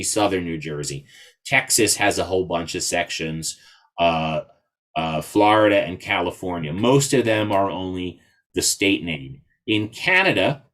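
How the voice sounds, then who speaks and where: A man giving an informal instructional presentation to a club as an invited speaker, explaining things step by step. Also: lowish voice. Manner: explanatory, spells out codes in phonetic alphabet.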